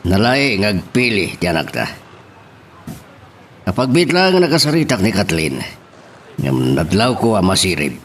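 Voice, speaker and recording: A man's voice: fairly low pitch, sing-song, unhurried, pauses often, a bit bright, a little hiss or hum. A man acting a stern, suspicious middle-aged father in an Ilocano audio drama. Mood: grave, concerned. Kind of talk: radio-style drama acting. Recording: music under the voice.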